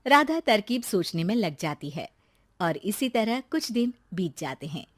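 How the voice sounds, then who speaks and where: A woman's voice: mid-range voice, big pitch swings, measured pace, no room sound, studio quality. A woman narrating a scripted moral story for a cartoon in a storytelling style.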